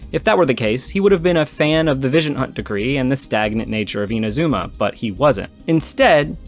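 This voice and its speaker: Irreverent man narrating over music. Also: mid-range voice. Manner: irreverent, profane, jokey. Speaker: video game story analyst. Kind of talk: scripted video essay narration with casual asides. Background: background music.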